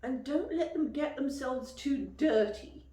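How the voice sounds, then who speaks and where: A woman reading a picture book aloud to young children as a teacher would, expressively and clearly phrased, pausing to explain and ask questions. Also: high voice, animated, dark tone. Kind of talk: children's storytime read-aloud with questions to listeners. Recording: roomy, echoey, distant microphone.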